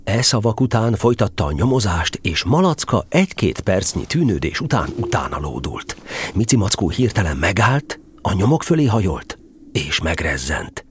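A man's voice: sits low, big pitch swings, a little hiss or hum. A man narrating a storybook audio drama, telling the tale expressively.

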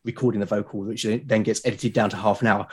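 A British man talking as a podcast guest, explaining his creative process in a reflective, halting way. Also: fairly low pitch, little pitch movement, darkish tone, husky. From Britain. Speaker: podcast guest, creator of a solo role-playing show.

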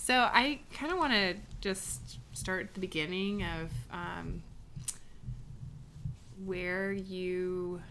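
A woman's voice: on the low side, slow pace, fairly bright tone, slight background noise. A woman hosting a podcast, asking questions in a casual, encouraging way with some fillers. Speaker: podcast host. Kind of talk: casual interview talk.

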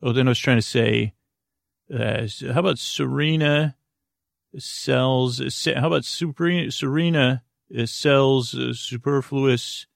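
A man hosting a sleep podcast in a creaky, lulling voice, meandering haltingly through tangents and interrupting himself as he goes. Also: mid-range voice, dry recording, clean studio sound. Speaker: podcast host. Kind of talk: rambling bedtime sleep podcast monologue.

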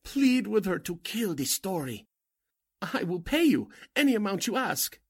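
An actor playing a crooked cult leader and fake medium in a 1940s-style radio drama, formal and theatrical in manner. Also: male voice, high voice, very animated, a little slow, breathy edge, exaggerated voice. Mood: urgent, pleading. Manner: formal, theatrical, commanding or pleading.

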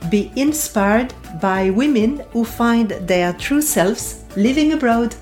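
A woman hosting a podcast, reading a measured, formal introduction before interviewing her guest. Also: fairly high pitch, lively intonation, a little mellow, soft background music. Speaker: podcast host. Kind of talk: scripted intro then interview. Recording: roomy echo.

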